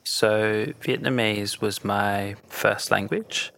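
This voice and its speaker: Deep gravelly man. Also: fairly even intonation, a bit bright. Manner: measured, thoughtful explaining. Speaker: journalist guest. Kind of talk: conversational podcast interview.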